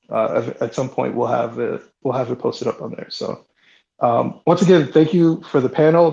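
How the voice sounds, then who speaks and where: A sick man hosting a live online audio chat, talking in a relaxed, hesitant way with many ums and restarts. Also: mid-range voice, gravelly. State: sick, voice deeper than usual. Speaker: host. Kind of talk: casual closing remarks on a live audio chat. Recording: slightly dull lo-fi online audio.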